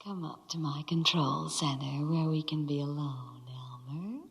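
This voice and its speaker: Low, reverberant, imperious ship computer. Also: female voice, fairly even intonation, a little slow, a bit bright. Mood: dreamy, smitten. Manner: melodramatic, grandly sentimental. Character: romantic, headstrong female ship's computer, imperious and theatrical. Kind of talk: 1950s radio drama acting. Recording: 1950s radio recording, long reverb.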